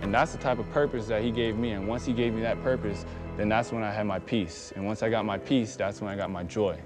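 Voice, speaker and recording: Young american guy talking earnest and plain, lowish voice, music playing under him. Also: steady tone, fairly quick. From United States. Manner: earnest, reflective, plain-spoken. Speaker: basketball player. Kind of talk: documentary talk and speech.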